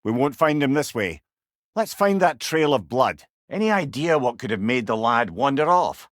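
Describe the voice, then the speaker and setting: A man playing a wise, witty older companion in a video game, with a Scottish accent and a wry, avuncular, expressive manner. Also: mid-range voice, normal speed, no room sound, studio quality, lightly acted. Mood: alert, urging. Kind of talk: video game character dialogue.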